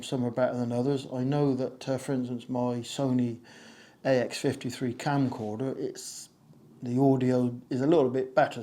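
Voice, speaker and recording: A man with a slightly hoarse voice, talking casually to camera about his audio setup, with many fillers and self-mocking jokes, recorded on a wireless lapel mic in a somewhat echoey conservatory. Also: medium pitch, mellow. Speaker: video creator explaining audio gear. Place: conservatory used as a home studio, a little echoey.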